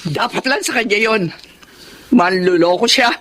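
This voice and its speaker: A woman's voice: medium pitch, moderate pace, a bit bright, lightly acted. A Filipino actress playing a wealthy, jealous, vengeful older woman betrayed in love, in an intense, accusatory radio drama style. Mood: defiant, bitter.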